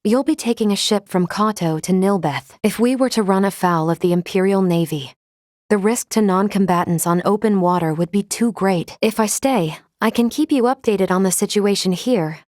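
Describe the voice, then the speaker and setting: Woman doing a polite protective android first mate for a video game, mid pitch, measured formal wording, very dry recording. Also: a little mellow, dry recording, natural voice. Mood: calm, analytical. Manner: formal, precise, measured diction. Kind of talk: scripted video game character lines.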